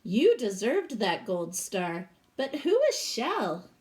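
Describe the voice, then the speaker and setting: Woman reading as a sincere schoolboy, slow-paced. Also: mid-range voice, very animated, a little mellow. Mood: apologetic, friendly. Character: schoolboy poet, once mean, now apologetic and friendly.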